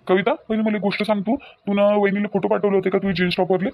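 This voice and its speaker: A man's voice: sits high, little pitch movement, quick pace, gravelly, muffled recording. A man playing an agitated young newlywed husband in a family drama, repeating himself, with a Varhadi Marathi accent. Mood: annoyed. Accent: Varhadi Marathi of Vidarbha. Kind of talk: amateur family comedy-drama dialogue.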